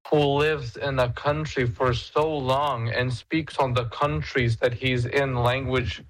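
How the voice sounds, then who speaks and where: A man's voice: mid-range voice, a bit bright, a little hiss or hum, rough recording. A young man from Lithuania with a Lithuanian accent, chatting casually and often repeating words as he hunts for the right phrase. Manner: halting, repeats words while searching for phrasing. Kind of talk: casual chat on a voice call.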